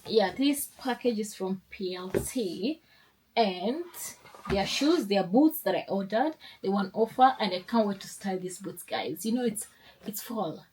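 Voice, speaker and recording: A woman's voice: medium pitch, expressive, a little slow, darkish tone, slight background noise, rough recording. A young woman, chatting casually in a sit-down vlog, upbeat and rambling with restarts. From Kenya. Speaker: lifestyle vlogger.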